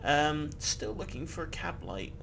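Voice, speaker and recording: A man's voice: on the high side, expressive, a little slow, vocal fry, noisy background. A man casually chatting and rambling while he plays a game, swearing freely and laughing often. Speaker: gameplay video host. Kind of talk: casual gameplay commentary.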